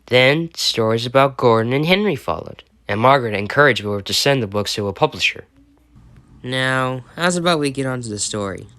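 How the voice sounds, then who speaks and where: A man's voice: medium pitch, expressive, fairly quick, bright, forceful tone, no room sound. A man with an American accent reading a bedtime story and chatting about it as he goes. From United States. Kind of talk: storytelling and chat.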